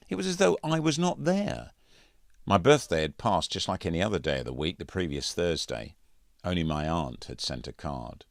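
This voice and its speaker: Deep-voiced man reading a novel. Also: no echo, clean studio sound. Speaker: author reading own audiobook. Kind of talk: scripted first-person narration, literary audiobook.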